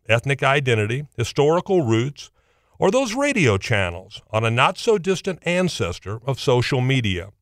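Animated American man narrating history, dry sound. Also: mid-range voice, no echo. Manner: folksy, wry humor, emphatic phrasing. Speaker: history program host. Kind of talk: scripted radio essay narration.

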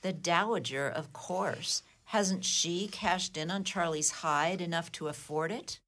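A woman acts out a disdainful grown daughter in a radio comedy. Her voice is lowish and very unhurried, delivering blunt, dry, cutting lines. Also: fairly bright tone. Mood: scornful. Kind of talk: comedy whodunit radio play acting.

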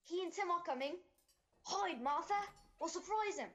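An actress playing an eager, bossy young daughter in a radio play. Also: female voice, extremely high pitch, animated, normal speed, fairly bright tone. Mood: excited.

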